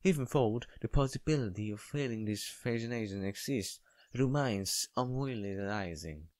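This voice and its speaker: A man with a non-native English accent voicing a composed, mysterious young woman from a visual novel, in a measured, hesitant manner. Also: on the low side, darkish tone, dry recording, clean studio sound, close to an everyday voice. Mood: measured, reflective. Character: mysterious, composed young woman, oldest student, soft-spoken.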